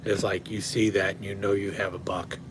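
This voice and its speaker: A man hosting a casual livestream and rambling with lots of fillers and restarts, his voice slightly hoarse from talking. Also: low-pitched, level tone, fairly quick, background noise. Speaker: knife collector livestream host. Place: at home indoors.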